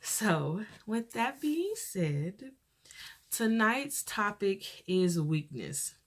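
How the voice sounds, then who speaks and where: A young woman hosting her own podcast with a soft voice, talking casually and earnestly, rambling and pausing as she goes. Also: mid-range voice, very animated. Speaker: podcast host.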